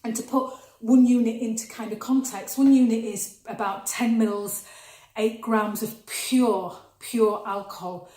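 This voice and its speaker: A woman's voice: on the high side, a little mellow. Chatty fifty-something woman, echoey room. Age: 50s. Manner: chatty, emphatic, repeats words for stress. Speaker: nutritional therapist. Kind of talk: casual advice vlog to camera.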